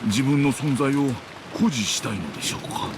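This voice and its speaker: A man voicing a courteous, puzzled older gentleman in a game drama, polite and pondering. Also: mid-range voice, animated, racing, noisy background, close to an everyday voice. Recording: heavy rain ambience behind the voice.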